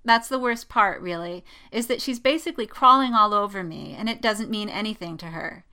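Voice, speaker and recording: A woman's voice: mid-range voice, brisk, pushed and bright, clean tone. A woman voicing an anxious, lovestruck young female pilot in a story, sighing and whining in exasperation. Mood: lamenting. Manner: sighing, lamenting, whining, easily flustered. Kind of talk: dramatic fan fiction reading.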